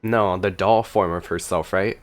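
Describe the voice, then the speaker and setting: A man's voice: sits low, fairly even intonation, unhurried, slight background noise. A man giving casual, chatty gameplay reaction commentary as a streamer, talking to his viewers. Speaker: gaming streamer.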